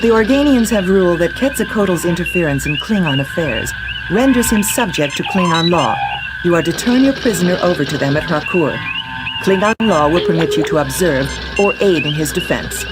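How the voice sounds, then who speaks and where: Sober space fleet superior. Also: male voice, sits high, lively intonation, moderate pace, low-quality recording. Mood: grave, cautioning. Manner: formal, sober briefing. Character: authoritative, concerned Starfleet command superior. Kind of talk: scripted science fiction game acting. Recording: loud music under game dialogue.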